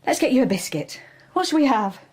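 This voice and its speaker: An actress playing a tired, tender young mother in an intimate radio drama, soft and breathy. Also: high voice, big pitch swings, brisk, noisy background. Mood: weary.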